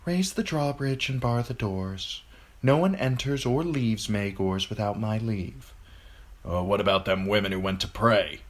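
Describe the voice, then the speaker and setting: A man's voice: lowish voice, unhurried. A man voicing a cold, imperious queen who gives curt orders. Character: cold commanding queen, grown woman.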